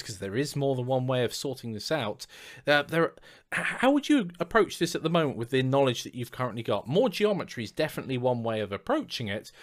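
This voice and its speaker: A man giving a step-by-step software lesson in a chatty voice-over, asking the viewer questions as he goes. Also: medium pitch. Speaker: software tutorial instructor. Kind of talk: screen-recorded software lesson.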